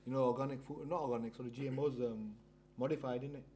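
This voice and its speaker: A man's voice: medium pitch, fairly even intonation, warm tone. A man in his thirties chatting casually on a podcast, stumbling and repeating words as he talks. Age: 30s. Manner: fast, halting, repeats words. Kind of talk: casual chat.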